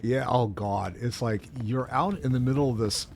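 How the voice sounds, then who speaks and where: A man's voice: mid-range voice, normal speed, vocal fry, slight background noise. A man co-hosting a gaming show, chatting casually as he plays in a rambling, mildly exasperated way. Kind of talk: casual chat while gaming.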